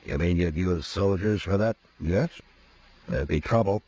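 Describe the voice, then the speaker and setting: An actor playing a stiff, formal middle-aged army major in a 1950s radio western, speaking in a clipped way. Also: male voice, deep voice, measured pace, mellow, slightly rough. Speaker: army officer. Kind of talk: 1950s radio drama acting. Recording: dull old radio broadcast recording.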